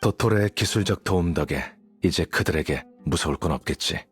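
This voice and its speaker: A man's voice: deep voice, a little fast, slightly rough. A man playing a cool, calculating scholar in a video game, explaining things in a composed, matter-of-fact way. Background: background music.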